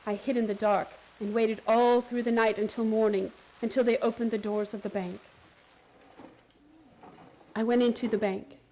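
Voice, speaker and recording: A high-pitched actress playing a woman patient in a radio play, haunted and obsessed. She recounts her strange story with theatrical intensity and an urgent, frantic edge. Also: level tone, moderate pace, long pauses, darkish tone, slight background noise, natural voice. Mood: tense, secretive. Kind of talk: radio play acting, first-person dramatic narration.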